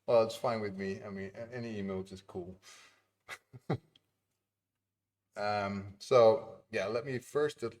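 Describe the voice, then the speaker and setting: Guy streaming his game dev, kinda low voice, laughs a lot, mumbles while he clicks around. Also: slow pace, long pauses, darkish tone. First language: Dutch. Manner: chatty, laughs often, mutters to himself while working. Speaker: indie game developer streaming. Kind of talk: casual live-stream chat while working.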